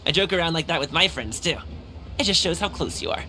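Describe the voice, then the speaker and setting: A man's voice: on the high side, lively intonation, fast, sharp tone, a little hiss or hum. A man playing a cheerful, friendly young male guard in a video game, chatty, upbeat and reassuring. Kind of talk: animated video game voice acting.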